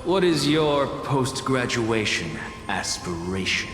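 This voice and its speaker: Haughty scholar, condescending, theatrical game delivery, very animated pitch, echoing reverb over music. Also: male voice, slow pace. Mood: brusque, dismissive. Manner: pompous, condescending, withering. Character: haughty, exacting male professor and renowned scholar. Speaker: professor. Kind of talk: dramatic video game voice acting. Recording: echoey long reverb with music behind.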